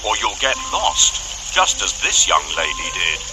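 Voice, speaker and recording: A man's voice: deep voice, huge pitch swings, unhurried, very noisy, pitched below the performer's own voice, heavily acted. A man voicing a kindly, theatrical king for a children's storybook. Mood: admonishing.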